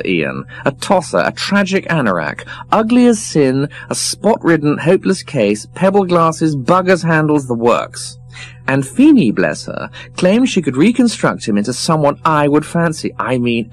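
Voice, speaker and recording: A man's voice: fairly high pitch, very animated, a little slow, soft background music, voice pushed up. A man putting on a brash, mocking posh young woman. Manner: scornful, gushing, swearing freely.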